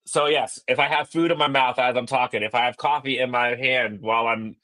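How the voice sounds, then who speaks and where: A man in his thirties co-hosting a sports podcast from a hotel business center over a laptop call, a little hoarse, quick and joking. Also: mid-range voice, fairly even intonation, bright, forceful tone, low-quality recording. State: slightly hoarse voice. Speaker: podcast co-host. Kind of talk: casual sports podcast chat. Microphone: laptop on video call.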